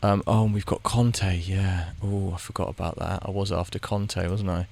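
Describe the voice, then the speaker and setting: A man's voice: low voice, steady tone, normal speed, gravelly, darkish tone. A man giving casual, rambling commentary while he plays a game, muttering his reactions as he goes. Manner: thinks aloud, muttering reactions to the screen; rambling, with frequent restarts. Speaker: gaming video creator.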